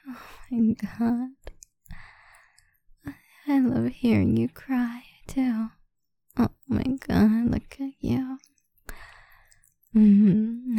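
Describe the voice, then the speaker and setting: A woman speaking in a breathy whisper in an erotic roleplay audio, domineering, commanding and taunting. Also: a little high, mellow, no room sound.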